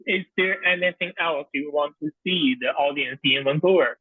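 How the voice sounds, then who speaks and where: A man asks questions over a thin, phone-like line and keeps his remarks short and easygoing. His voice sits a little higher than average. The sound is dry, with no room around it. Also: normal speed, breathy edge, no echo. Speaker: interviewer and comedy promoter. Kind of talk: casual interview. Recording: tinny phone-like call line.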